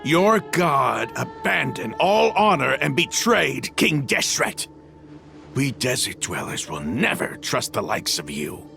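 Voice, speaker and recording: Gravelly zealous desert mercenary leader. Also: male voice, mid-range voice, sing-song, slow, over music, exaggerated voice. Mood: scornful, angry. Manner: theatrical, scornful, emphatic. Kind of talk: video game voice acting.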